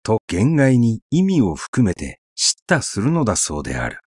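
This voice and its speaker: A man narrating a literary story in a measured, conversational, expressive way, as if telling it to someone. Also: on the low side, slightly rough, no echo, studio quality.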